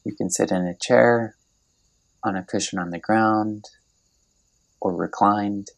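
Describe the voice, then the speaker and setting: Deep-voiced man guiding meditation, creaky tone. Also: fairly even intonation. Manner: gentle, unhurried, soothing instruction with long pauses. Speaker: meditation guide. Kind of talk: guided meditation, scripted narration.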